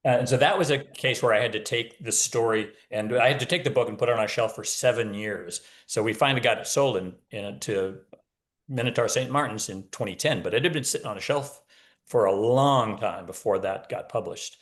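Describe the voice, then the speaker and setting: Male author guest, voice on the low side, relaxed interview storytelling with a wry touch. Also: a little fast.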